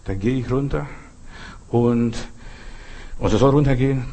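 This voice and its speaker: Husky-voiced male preacher, exhorting. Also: mid-range voice, unhurried, mellow. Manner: exhorting, repetitive, folksy, often addressing the listener directly. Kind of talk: sermon, spoken freely with short imperatives and anecdotes.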